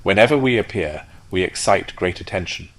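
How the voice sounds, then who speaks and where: A man's voice: low voice, lively intonation, a little fast, fairly bright tone, no room sound, rough recording. A man voicing a conceited male firework rocket who talks in a haughty, lecturing way. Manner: haughty, self-important, lecturing.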